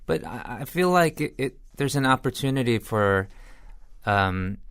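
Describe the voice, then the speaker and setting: A man's voice: mid-range voice, animated, unhurried, fairly bright tone, smooth, dry recording, clean studio sound. A man hosting a podcast, asking questions in an easygoing way. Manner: easygoing, asks questions, chimes in. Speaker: podcast host. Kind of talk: casual interview questions.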